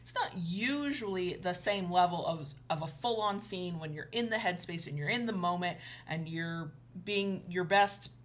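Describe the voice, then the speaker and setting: A woman's voice: fairly low pitch, animated, normal speed, few pauses. Woman explaining things in emphatic, conversational style. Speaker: co-host of an educational video show. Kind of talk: conversational advice talk to viewers.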